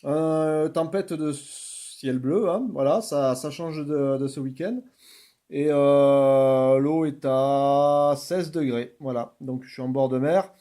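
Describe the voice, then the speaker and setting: A man's voice: fairly high pitch, steady tone, takes it slowly, warm tone. A man making radio contact, courteous, with procedural call-outs and stock phrases. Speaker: amateur radio operator. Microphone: radio's original microphone.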